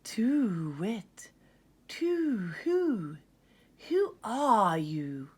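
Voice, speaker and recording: A woman voicing a helpful, calm owl in a storybook, hooting and giving drawn-out advice. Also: mid-range voice, breathy edge, voice pushed up, exaggerated voice. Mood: hooting, curious.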